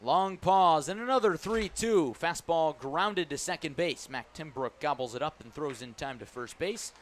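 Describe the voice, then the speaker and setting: A man calling a baseball game live on radio as the play-by-play announcer, describing each pitch in detail. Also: moderate pace. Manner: descriptive, excited calls rising on big plays. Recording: clear background noise at ballpark. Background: ballpark ambience.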